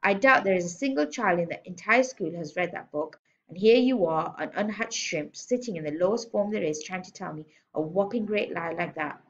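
Storybook bully headmistress, acted by a woman reader: loud, scolding, sneering, domineering; slightly dull recording. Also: fairly low pitch, lively intonation, fairly quick. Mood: angry, accusing. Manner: barking, scolding, sneering insults. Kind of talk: children's storybook read-aloud.

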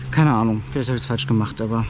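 A man's voice: mid-range voice, racing. A man in his thirties, chatting casually to a bike-mounted camera while riding a riverside path outdoors. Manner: chatty, upbeat, thinking aloud. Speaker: cycling travel vlogger. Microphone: action camera mounted on the bike. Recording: loud wind and road noise.

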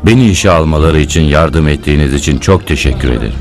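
A man's voice: a bass voice, fast. An actor playing a humble, pious and grateful working man in a religious cartoon, earnest and respectful. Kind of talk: dubbed religious cartoon acting. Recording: music behind the voice.

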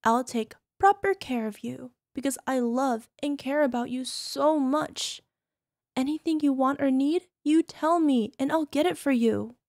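High-pitched, sugary, possessive yandere wife acted by a woman. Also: unhurried, a little mellow, dry recording, clean studio sound. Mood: doting, teasing. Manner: cooing, coaxing, sugary with controlling edge. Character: possessive, doting young yandere wife, sweetly menacing. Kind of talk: ASMR roleplay, speaking directly to listener.

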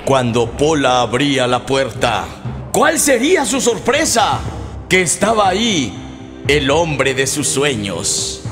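Animated man narrating a radio soap. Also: on the high side, slow, long reverb tail. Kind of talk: melodramatic scripted narration. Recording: music behind the voice.

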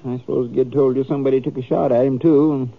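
A man's voice: medium pitch, steady tone, quick pace, lightly acted. An American man playing an anxious, stubborn Old West farmer in a radio drama, with a rustic, stammering, pleading manner. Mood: indignant. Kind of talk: 1950s Western radio drama acting. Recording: thin, lo-fi old radio recording.

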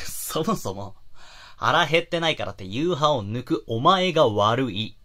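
Guy playing a blunt tsundere boyfriend in a voice drama, talks slow, bright edgy tone, secretly caring. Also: medium pitch, animated. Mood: grumbling, exasperated. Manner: blunt, rough-mouthed phrasing with soft breathy asides. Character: gruff tsundere young boyfriend, awkwardly caring, teasing. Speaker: online voice actor. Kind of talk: intimate Japanese situation voice drama, boyfriend role-play.

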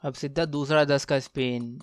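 A man giving casual, excited gameplay commentary, slangy and exclamatory, repeating phrases for emphasis. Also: medium pitch, unhurried, no echo, studio quality. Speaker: gaming channel host.

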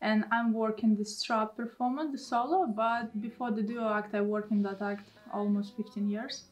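A woman's voice: a little high, fairly even intonation, normal speed, distant mic. A woman in her thirties with a Russian accent, speaking simple English in a casual seated interview. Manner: simple non-native English, light laughs. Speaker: aerialist and costume maker. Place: indoor costume workshop.

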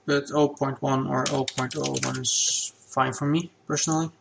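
A man with Dutch as his first language walks through a software tutorial at his desk. His mid-pitched voice has a gravelly edge, and he explains each step in a relaxed, plain way, with keys and clicks heard behind him. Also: moderate pace, noisy background. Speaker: game asset creator.

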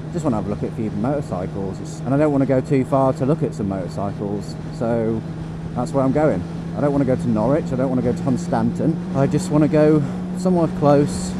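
A man vlogging casually while riding a motorcycle on country roads, rambling and chuckling to himself. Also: mid-range voice. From England. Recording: wind and engine noise from riding.

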